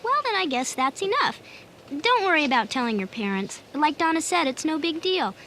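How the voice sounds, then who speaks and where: A woman's voice: high-pitched, sing-song, normal speed, fairly bright tone, a little hiss or hum, exaggerated voice. A woman playing a friendly, breezy young adviser in a family radio drama. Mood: reassuring. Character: friendly, casual young woman giving easygoing advice.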